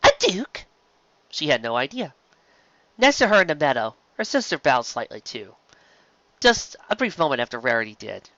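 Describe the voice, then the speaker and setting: A woman reading scripted fan fiction aloud as an expressive narrator. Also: extremely low pitch, normal speed, slight background noise. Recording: slightly dull, lo-fi recording.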